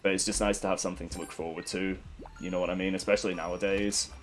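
Low-voiced man with a stuffy cold. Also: little pitch movement, quick pace, soft background music. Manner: rambling, candid, swears casually. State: congested from a cold. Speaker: gaming commentator. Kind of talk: casual gameplay commentary.

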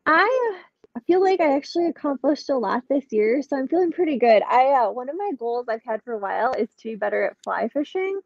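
A woman's voice: sits high, sing-song, brisk, creaky, no echo. Chatty, upbeat woman on a dull video call. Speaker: podcast guest, outdoorswoman. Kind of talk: casual interview chat. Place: indoor room at home. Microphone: webcam on a video call.

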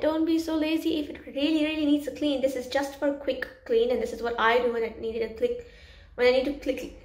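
Chatty young woman in echoey room. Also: sits high. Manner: chatty and instructive, dropping to quiet asides while working. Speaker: vlogger. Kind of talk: clean-with-me tutorial. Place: small carpeted room indoors. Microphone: camera at arm's length.

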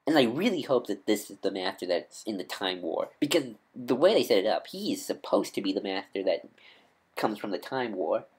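A man with a nasal voice giving casual, off-the-cuff fan commentary as a vlogger, rambling and halting as he corrects himself. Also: lowish voice, sing-song, a little mellow, slightly rough. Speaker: YouTube vlogger and podcaster.

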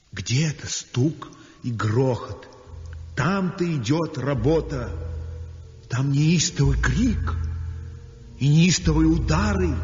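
An actor playing a feverish, tormented painter recounting a nightmare in a slow, intense monologue for a 1960s radio play. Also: male voice, medium pitch, natural voice. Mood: mounting terror. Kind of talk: 1960s Soviet radio drama acting. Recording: muffled 1960s radio recording, long reverb, music.

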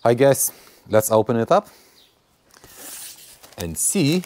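A man's voice: medium pitch, big pitch swings, slow, a little mellow. A man in his forties showing and testing a battery in a friendly, casual way, talking to viewers outdoors on a lapel mic. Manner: friendly, addresses viewers as guys, non-native phrasing. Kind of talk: scripted voice-over intro, then casual on-camera chat. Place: outdoors on a stone barn patio. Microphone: clip-on lapel mic.

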